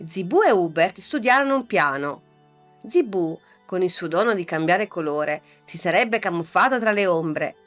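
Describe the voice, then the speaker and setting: A woman reading a children's bedtime fairy tale in a soft, calm, lulling voice. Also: lowish voice, normal speed, soft background music. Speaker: storyteller. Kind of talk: scripted bedtime story narration.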